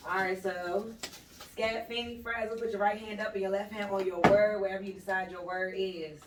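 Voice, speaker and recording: A woman co-hosting a podcast, lively and quick to jump in and joke. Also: medium pitch. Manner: lively, interjecting and joking. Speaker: podcast co-host. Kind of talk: casual interview chat. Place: echoey podcast room. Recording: echoey room, distant mic, background noise.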